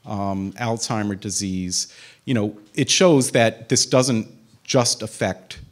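A man's voice: lowish voice, takes it slowly. A man in his fifties, a physician, giving a conversational public talk in a community center room, speaking into a handheld microphone with many fillers and restarts. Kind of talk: public lecture with audience questions.

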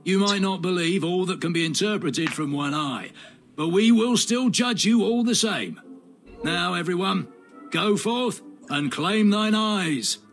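A man's voice: high-pitched, animated, a little slow, fairly bright tone, soft background music, exaggerated voice. A man playing a pompous, theatrical knight who announces a tournament in a video game, with mock gravity. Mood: grand. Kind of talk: video game character acting.

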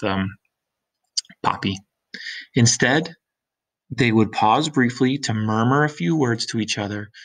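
A middle-aged man, a teacher, reading a graphic novel aloud to his students, doing the characters' voices and stopping to ask them questions. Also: low-quality recording. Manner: animated, shifts pitch for the characters' lines. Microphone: webcam on a computer screen recording.

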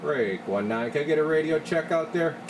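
Relaxed man testing radios. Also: a little high, heavy background noise. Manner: relaxed, halting, talks while working. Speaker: radio repair technician. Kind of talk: casual workshop demonstration. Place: radio workshop.

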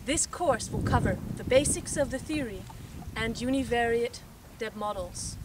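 A young woman with a slightly high voice explains the course in a measured, welcoming way. She stands outdoors and the camera mic is some distance off, so she sounds far away. Steady wind noise runs under her voice. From Texas, raised in France. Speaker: course instructor. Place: outdoors in open grassy dunes.

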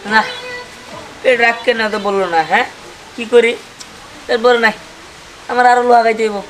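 A man acts out a silly, greedy village boy for a low-budget comedy skit. He whines and pleads with exaggerated relish, over loud music. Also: steady tone, moderate pace, rough recording. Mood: greedy, musing. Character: greedy, silly village boy who loves eating iron.

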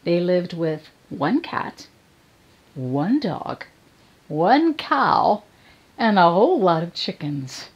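An older woman telling a story to young children in a playful, expressive manner, addressing them directly. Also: fairly low pitch, muffled recording. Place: indoors in front of a curtain, filmed for online program.

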